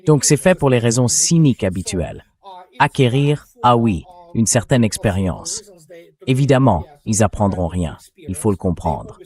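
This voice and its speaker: Male synthetic voice-over for interview guest: low register, blunt, emphatic political commentary. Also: very animated, normal speed, darkish tone.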